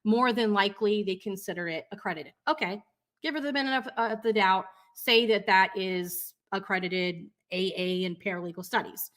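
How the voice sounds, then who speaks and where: Skeptical woman commentator, mid-pitched voice. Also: normal speed, fairly bright tone. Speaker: commentator fact-checking a clip. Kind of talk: casual explanatory commentary.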